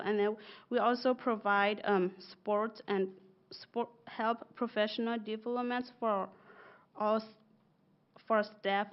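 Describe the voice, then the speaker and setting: A young woman whose first language is Karen, giving a soft-spoken, halting presentation as a bilingual school success coach. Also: medium pitch, smooth, highs cut off. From Burma. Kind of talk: prepared presentation.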